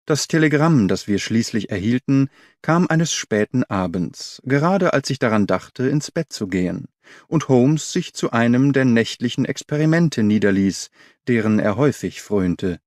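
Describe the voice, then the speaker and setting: A man's voice: mid-range voice, animated, normal speed, a little mellow, dry recording, studio quality. A man reading scripted literary narration as an audiobook narrator.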